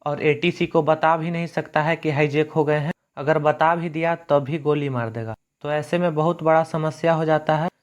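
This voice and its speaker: Man, slightly high pitch, educational narrator, colloquial wording, plain step-by-step teaching. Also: steady tone, moderate pace, few pauses. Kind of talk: explainer narration in simple colloquial Hindi.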